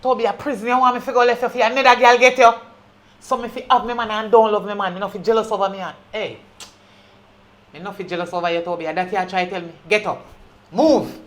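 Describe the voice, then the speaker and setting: A woman's voice: mid-range voice, fairly even intonation, fast, close to an everyday voice. A woman with a Jamaican accent playing a jealous, hot-tempered young woman who barks curt orders in patois. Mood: threatening. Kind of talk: low-budget patois drama.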